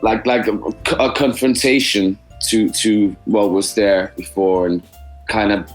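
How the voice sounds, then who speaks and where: Halting man, musician guest. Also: fairly low pitch, fairly even intonation, faint music behind. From Denmark. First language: Danish. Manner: halting, many restarts and repeats. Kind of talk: casual interview answers.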